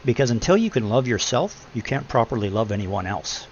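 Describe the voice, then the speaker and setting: A deep-voiced middle-aged man giving blunt, preachy advice in a casual chat, swearing freely. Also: very animated, a little fast. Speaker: podcast host. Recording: muffled lo-fi with faint hum.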